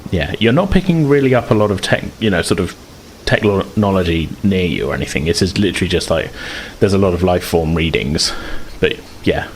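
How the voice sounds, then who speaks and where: Man, game master, very low pitch, hesitant improvised narration, hedging, slight background noise. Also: expressive, brisk, hardly any pauses, low-quality recording. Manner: hesitant, hedging descriptions with "sort of".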